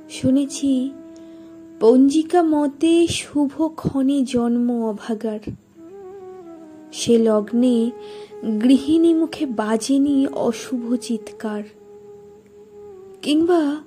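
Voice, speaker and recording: A woman reciting poetry in a dramatic style, with measured, deliberate phrasing and expressive pauses. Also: high voice.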